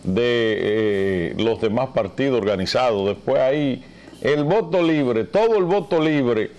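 Male TV host, middle-aged, Dominican accent, mid-pitched voice, conversational news commentary peppered with rhetorical questions. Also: expressive, unhurried, darkish tone. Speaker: TV talk show host. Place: TV studio at a desk. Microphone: lapel mic.

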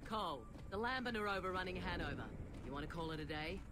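A woman's voice: lowish voice, lively intonation, fast talker, close to an everyday voice. A woman voicing a dry, matter-of-fact soldier in a video game squad. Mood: businesslike. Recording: game sound with clear noise.

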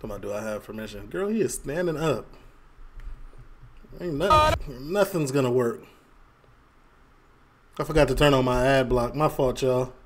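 A man's voice: mid-range voice, animated, normal speed, pauses often, a little hiss or hum. A young man with a Chicago accent giving casual, slangy reaction commentary on a video, laughing often. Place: indoor room at a desk.

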